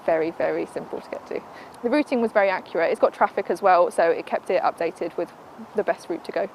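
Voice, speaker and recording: A woman, a car reviewer giving a brisk, matter-of-fact verdict on an in-car system. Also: on the low side, a little mellow, slight background noise, poor audio. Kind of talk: product review.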